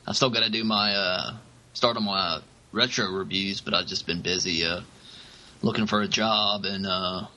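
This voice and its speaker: A man co-hosting a podcast, chatting casually with halting, hesitant phrasing. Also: deep voice, bright, forceful tone, slight background noise, poor audio. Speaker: podcast co-host.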